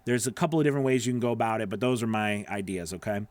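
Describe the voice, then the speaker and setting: A man's voice: lowish voice, rapid-fire, darkish tone, dry recording. Middle-aged man advising, dry close studio sound. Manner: conversational, emphatic, often checks in with listener. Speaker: host, voice-over coach. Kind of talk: casual advice to live viewers' questions. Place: small home studio, indoors. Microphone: broadcast microphone on a boom arm, close.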